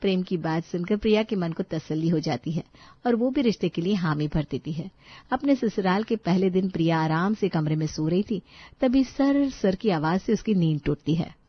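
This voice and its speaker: A woman's voice: lowish voice, expressive, moderate pace, breathy edge, dry recording, lo-fi sound. Woman narrating a story, very dry. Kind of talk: scripted bedtime story narration.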